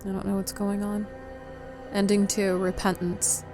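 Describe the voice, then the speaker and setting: A woman's voice: mid-range voice, completely flat delivery, a little slow, over music. A woman giving casual let's play commentary as a game streamer, sometimes reading on-screen text aloud.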